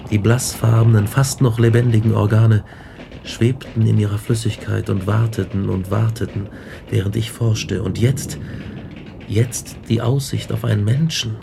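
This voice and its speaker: A man's voice: on the low side, fairly even intonation, unhurried, creaky, noisy background. An actor playing an obsessive, haunted scientist in a radio drama, brooding intensely and dwelling on his words. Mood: brooding fascination. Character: obsessive, haunted male scientist recounting his grisly experiments. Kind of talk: radio drama acting and narration.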